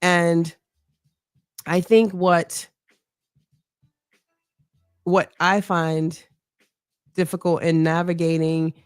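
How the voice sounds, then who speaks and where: Middle-aged woman, strong low voice, smooth and clear, earnest interview guest, dry close mic. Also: level tone, dry recording. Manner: earnest, reflective, measured with frequent "right?" tags. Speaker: mayoral candidate guest. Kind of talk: candid interview talk.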